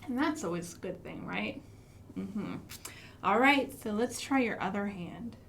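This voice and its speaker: An American woman hosting an interactive storytime for young children, asking questions and speaking in an expressive, encouraging way. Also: mid-range voice, a little slow, creaky, slight background noise. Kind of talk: interactive children's storytime with songs and finger rhymes. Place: live online stream for a library.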